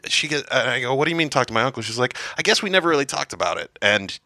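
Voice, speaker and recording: A man hosting a podcast, chatting and telling a family story at a quick, lively clip. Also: mid-range voice, no room sound, studio quality. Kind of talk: casual chat.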